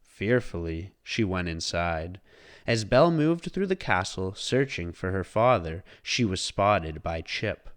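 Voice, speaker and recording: A man reading a children's storybook aloud as scripted, expressive narration. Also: low voice, unhurried.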